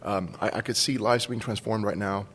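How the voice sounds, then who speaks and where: A man's voice: fairly low pitch, fairly even intonation, brisk, fairly bright tone, big-room sound, a little hiss or hum. A man in his forties from Cuba, casually telling a personal story as a podcast guest. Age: 40s. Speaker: school staff member, podcast guest.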